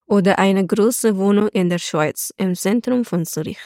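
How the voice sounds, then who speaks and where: A woman's voice: medium pitch, a little slow, mellow, no room sound, clean studio sound. A woman hosting a podcast with prepared talk. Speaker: podcast host.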